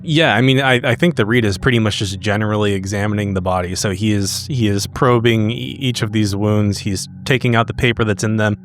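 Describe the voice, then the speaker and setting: A man with a fairly low voice talks as a player in a tabletop game. He describes his character's actions casually and haltingly, over faint music.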